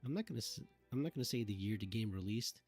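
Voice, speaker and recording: A man's voice: on the low side, expressive, quick pace, a little mellow, slightly rough, faint music behind. A man doing casual livestream commentary on a game, thinking aloud and reminiscing informally as someone who helped make it. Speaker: streamer, former game cutscene assistant giving commentary.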